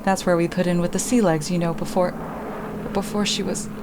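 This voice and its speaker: An actress playing an earnest, gushing widow in 1950s radio drama style, effusive and eager to convince. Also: female voice, lowish voice, fairly even intonation, fairly quick, noisy background, close to an everyday voice. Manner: effusive, overdone assurances. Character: widow, earnest, gushing and ingratiating female suspect.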